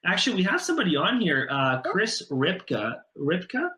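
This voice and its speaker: A man's voice: sits high, sing-song, normal speed, bright, forceful tone. A man hosting a casual online interview in an effusive, enthusiastic way, recorded over an echoey call. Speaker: interview host, mindset coach.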